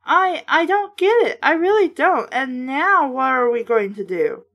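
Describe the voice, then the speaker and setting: Woman reading as agitated high-pitched dad. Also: animated, measured pace, a bit bright, heavily acted. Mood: panicked, angry. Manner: sputtering, agitated. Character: anxious, snappish father in a storybook.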